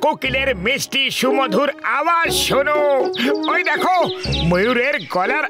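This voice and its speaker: High, whiny cartoon sidekick, comic, over heavy music. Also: male voice, expressive, moderate pace. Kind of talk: cartoon dub voice acting. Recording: loud music under the voice.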